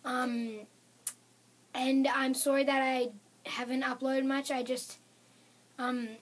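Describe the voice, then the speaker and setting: A preteen child hosting a gaming video in a casual countdown style, slightly raspy and hoarse from being sick, with halting delivery and drawn-out emphasis. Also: high voice, level tone, a bit bright. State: getting over a sickness. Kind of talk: scripted-sounding countdown narration and casual vlog intro.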